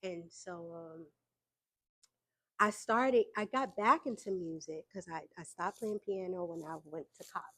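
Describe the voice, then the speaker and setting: A young adult woman speaks as a guest in a sit-down interview. Her voice is soft and sits a touch low, and she tells her story loosely, with plenty of fillers and easy laughs along the way. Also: unhurried. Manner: relaxed, frequent fillers, laughs between points. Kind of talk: casual conversational storytelling.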